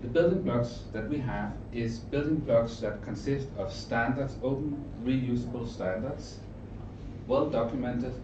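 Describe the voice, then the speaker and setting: Middle-aged man, Danish accent, mid-pitched voice, halting explanatory conference talk, distant roomy recording with background noise. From Denmark. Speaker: trainer and consultant giving a presentation. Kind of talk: informal conference presentation. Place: indoor event room with an audience. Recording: roomy, distant mic, narrow muffled sound, noisy.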